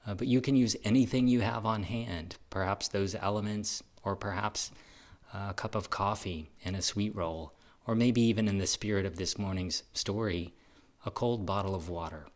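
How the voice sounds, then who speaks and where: A man speaking as a pastor, inviting a congregation in measured, deliberate, plain-spoken phrases. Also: on the low side.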